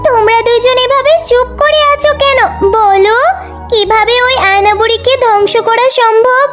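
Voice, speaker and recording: Woman acting a very high-voiced brave young girl. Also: normal speed, over loud music. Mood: eager, questioning. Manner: earnest, expressive, exclaiming. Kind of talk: cartoon character acting.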